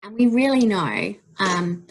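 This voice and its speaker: A woman from New Zealand with a New Zealand accent, giving a measured academic webinar talk as a child development researcher. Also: mid-range voice, sing-song, rough recording. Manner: measured academic, with frequent ums. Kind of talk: webinar presentation.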